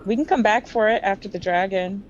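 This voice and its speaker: A woman's voice: mid-range voice, fairly quick, muffled recording. A woman playing a tabletop game, chatting casually and speaking in character. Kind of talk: casual in-character roleplay chat.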